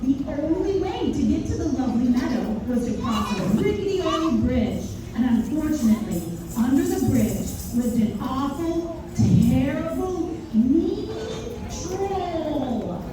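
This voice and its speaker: A high female voice narrates a children's fairy tale at a slow, deliberate pace. She is picked up from far off in a very reverberant room, with clear noise around her. Her delivery is that of a live read-aloud, with pauses for emphasis. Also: expressive. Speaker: storyteller narrating a children's puppet show. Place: echoey room at a live preschool performance.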